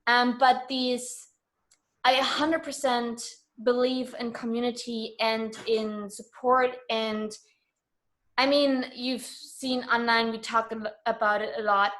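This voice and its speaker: A woman's voice: a little high, flat delivery, takes it slowly, a bit bright. A woman speaking as a guest in a casual radio interview, earnest, decisive and frank in her manner.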